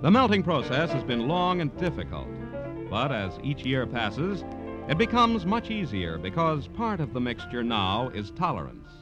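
A man with an American accent announcing formally for 1950s radio, polished, measured and declarative. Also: medium pitch, sing-song, lo-fi sound. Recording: vintage radio recording, music behind.

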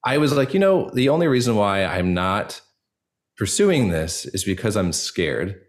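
Male podcast guest with a low voice, casual and informal, feeling his way through a personal story. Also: sing-song, measured pace.

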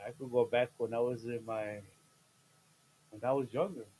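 A man in his fifties, a guest chatting casually on a podcast, playful and jokey, with sudden loud bursts and laughs mid-sentence. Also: mid-range voice, fairly even intonation, moderate pace, slight background noise, rough recording. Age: 50s. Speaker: guest, host's father.

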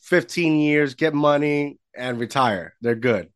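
A man's voice: on the high side, slow pace, clear, resonant tone, no room sound, studio quality. A man hosting a podcast, chatting casually with his guest and reacting in short exclamations. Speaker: podcast host. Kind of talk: casual interview chat.

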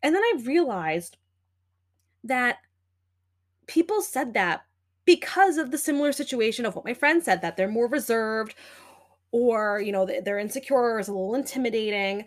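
Woman, young adult, podcast host, loud voice, animated, emphatic, chatty, fairly high pitch. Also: normal speed, long pauses. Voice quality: loud talking voice. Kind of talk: casual solo podcast talk.

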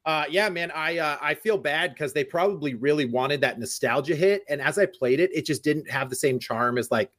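Laid-back man with a slightly high voice. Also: normal speed, few pauses, fairly bright tone. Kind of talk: casual gaming podcast banter.

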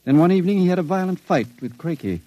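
An actor playing an intelligent, sensitive long-term sanitarium patient in an old radio drama, speaking in a measured, cautious, educated way. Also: male voice, sits high, animated, dark tone, dry recording, dull audio. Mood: quietly confiding.

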